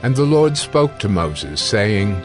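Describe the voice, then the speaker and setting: A man narrating scripture in a slow, deliberate announcing manner. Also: fairly low pitch, sing-song, a little mellow, soft background music.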